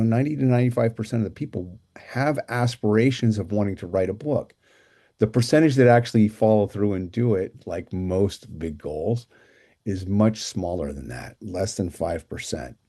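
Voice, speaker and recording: A man's voice: lowish voice, normal speed, mellow. A middle-aged man hosting a casual interview show, rambling a little with fillers and repeated words. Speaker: podcast host.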